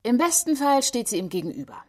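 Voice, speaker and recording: A woman playing a sardonic commenting voice in a radio drama, husky, in short interjected lines. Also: fairly high pitch, big pitch swings, quick pace.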